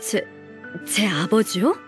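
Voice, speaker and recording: An earnest young woman secretary in a video game. She speaks politely and from the heart at a medium pitch, with some shy hesitation and respect for her senior. Music sits faintly behind her. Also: lively intonation, slow pace. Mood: amazed.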